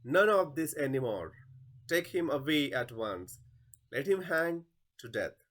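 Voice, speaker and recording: Man acting a pompous, commanding king, very animated. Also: a little high, a little slow, full character voice. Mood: angry, commanding. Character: imperious, impatient, pompous king demanding hangings.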